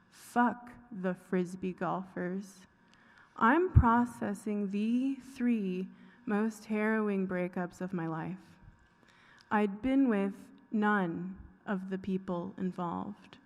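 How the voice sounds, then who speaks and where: A woman giving a dramatic reading of a short story, with deliberate, pausing phrasing. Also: mid-range voice. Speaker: fiction writer reading own work. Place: reverberant hall at a live public reading. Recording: echoey hall with long reverb.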